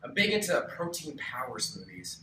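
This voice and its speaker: A man's voice: mid-range voice, sing-song, moderate pace, bright, forceful tone. A man playing an easygoing, hunky TV actor in his late twenties at a live table read. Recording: echoey live room, distant mic, faint noise.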